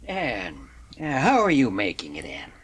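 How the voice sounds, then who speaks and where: A man playing a gentle, shy old farmer in a dramatised audiobook, speaking in a halting, mild way. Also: fairly low pitch, wildly swooping pitch, husky, dry recording, full character voice. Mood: hesitant, coaxing.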